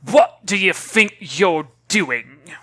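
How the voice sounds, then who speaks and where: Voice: medium pitch, expressive, extremely slow, bright, forceful tone, no room sound, studio quality, voice pushed up, exaggerated voice. The narrator putting on an unpleasant, indignant old woman with an accusatory, harumphing manner in a storybook.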